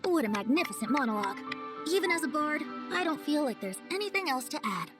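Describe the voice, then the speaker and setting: A woman's voice: high-pitched, sing-song, fast, over music. A woman voicing a whimsical boyish male bard in a video game, speaking in flowery, theatrical phrases. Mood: grandiose. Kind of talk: animated video game voice acting.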